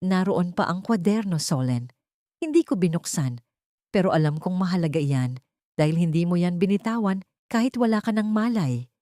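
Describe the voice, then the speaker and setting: Woman voicing a gentle old male gardener, low-pitched. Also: animated, normal speed, dry recording, studio quality, natural voice. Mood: gentle concern. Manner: soft-spoken, soothing.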